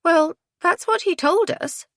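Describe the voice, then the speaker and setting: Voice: high-pitched, big pitch swings, unhurried, creaky, no room sound, clean studio sound, voice pushed up, exaggerated voice. A woman playing an anxious, earnest, easily indignant woman in an audiobook, speaking emphatically.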